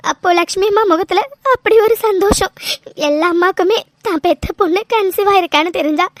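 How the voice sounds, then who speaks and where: A woman's voice: extremely high pitch, dry recording, studio quality. A young woman voicing a tearful young wife raised in an orphanage, hesitant and stammering, her voice choked with tears. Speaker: voice actor. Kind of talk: audio drama acting.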